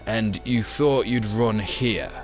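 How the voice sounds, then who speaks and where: Man doing a curt gruff ship captain. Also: lowish voice, slow pace, soft background music. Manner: curt, dry, short sentences. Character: gruff seasoned male ship captain, blunt. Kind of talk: amateur motion comic voice acting.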